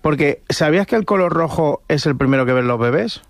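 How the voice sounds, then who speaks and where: A man from Catalonia, a radio comedian telling comic anecdotes and bantering in an animated, stumbling way. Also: fairly high pitch, brisk, no echo, studio quality. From Catalonia, Spain.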